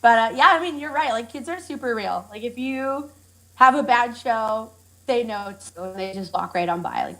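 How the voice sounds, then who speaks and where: A woman chatting as a podcast guest, her voice set a little higher than most. She talks candidly and with good humor, leaning on easy fillers as she goes. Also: moderate pace, rough recording. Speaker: guest, monster truck driver. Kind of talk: casual interview chat.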